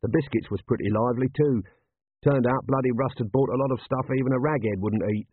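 A man's voice: medium pitch, brisk, breathy edge, no room sound, close to an everyday voice. A man voicing a grumbling, plain-spoken private who mutters in working-class speech in an audiobook. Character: grumbling rank-and-file soldier, coarse and plain-spoken. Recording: thin, band-limited, phone-like sound.

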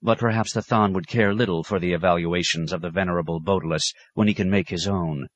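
A man's voice: low-pitched, steady tone, quick pace, muffled recording. A man voicing an older male abbot who speaks sternly with biting sarcasm, in an audiobook.

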